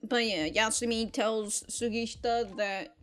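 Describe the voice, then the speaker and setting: A woman's voice: a little high, steady tone, unhurried. A woman giving a casual episode review as a reaction host, in slangy, sweary talk with mock indignation and frequent laughter.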